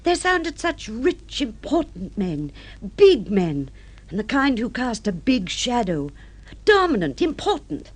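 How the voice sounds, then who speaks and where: Affected, high-voiced social-climbing wife. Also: female voice, wildly swooping pitch, slow pace, full character voice. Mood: boastful, excited. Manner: theatrical, gushing, affected. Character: middle-aged social-climbing wife, affected, dreamy, romancing fantasist. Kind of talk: mid-century radio drama acting.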